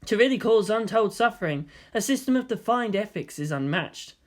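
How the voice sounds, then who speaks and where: Narrator as an exasperated, animated teenage boy. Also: medium pitch, moderate pace, pitched above the performer's own voice, lightly acted. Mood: reflective.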